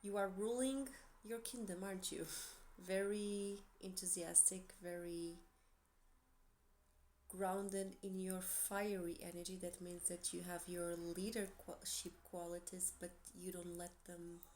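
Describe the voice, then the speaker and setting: A woman's voice: fairly low pitch, steady tone, slow pace, a little mellow, a little hiss or hum. A woman from Portugal with a Portuguese accent, chatting casually to camera as she gives a tarot reading in a meandering way. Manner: chatty, meandering, light laughs. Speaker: tarot reader.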